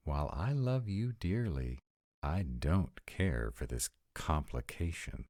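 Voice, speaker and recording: A man's voice: a bass voice, darkish tone, dry recording, full character voice. A man voicing a sarcastic, exasperated vampire in a scripted roleplay audio, talking to his partner with dry, emphatic delivery. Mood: exasperated sarcasm. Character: sarcastic male vampire, exasperated, anxious about in-laws.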